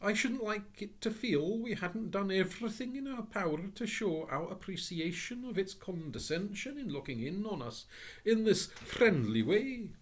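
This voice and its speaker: A man doing a fussy, flustered old gentleman. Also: expressive. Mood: anxious polite. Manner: fussy, very high, slow and bewildered. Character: flustered polite elderly office gentleman.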